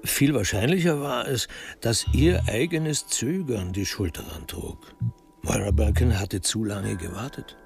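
An actor as the dry, wry narrator of a radio crime drama, telling the story in a measured, literary way. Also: male voice, on the low side, vocal fry, a little mellow, soft background music.

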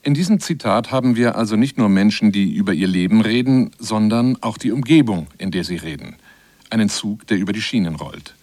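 A man's voice: fairly low pitch, expressive, a little fast, darkish tone, no room sound. A man reading scripted narration for a German radio feature as its presenter.

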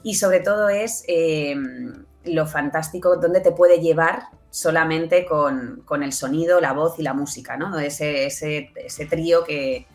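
A woman's voice: deep voice, steady tone, unhurried, faint music behind. A woman with an accent from Spain speaking as an interview guest, explaining conversationally and often checking with short tag questions. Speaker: interview guest, audio fiction producer.

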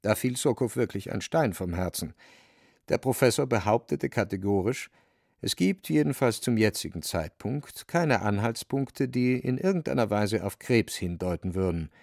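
A man narrating a literary radio play from a script, with a creaky, dry voice. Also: fairly low pitch, expressive, moderate pace, darkish tone, no room sound.

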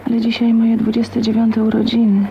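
Weary, creaky-voiced young spinster. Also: female voice, on the high side, monotone, normal speed, background noise. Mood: resigned, sad. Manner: hushed, resigned, inward musing. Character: timid, weary, bitter spinster of twenty-nine. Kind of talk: Polish radio drama monologue. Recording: dull lo-fi sound, music behind.

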